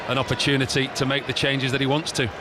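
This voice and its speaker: A man's voice: mid-range voice, little pitch movement, fast. A man giving thoughtful co-commentary on a football match, talking through tactics. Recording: stadium crowd and noise behind.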